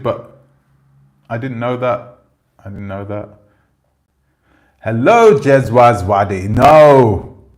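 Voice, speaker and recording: A man in his forties chatting casually on a livestream, rambling and relaxed, swearing now and then. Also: mid-range voice. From Jamaican family roots. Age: 40s. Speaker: channel host. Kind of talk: casual livestream chat reading comments.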